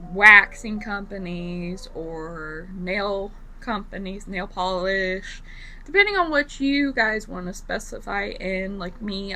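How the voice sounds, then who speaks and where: A young woman with a raspy voice from bronchitis, giving rambling, casual tips on becoming an esthetician to her viewers. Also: medium pitch, pushed and bright. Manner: rambling, lots of fillers and repeats. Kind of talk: casual vlog talk to camera.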